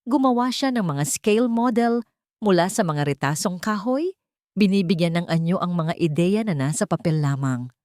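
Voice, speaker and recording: A woman's voice: fairly low pitch, lively intonation, normal speed, darkish tone, no echo, clean studio sound. A woman with a Filipino accent narrating a scripted, dramatic first-person story in the manner of an audiobook.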